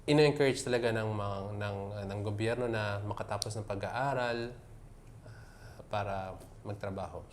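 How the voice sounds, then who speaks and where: Soft-spoken low-voiced man, halting. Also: a little hiss or hum. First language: Arabic. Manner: hesitant, many fillers, mixes English and Tagalog. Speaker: guest. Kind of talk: casual interview answers.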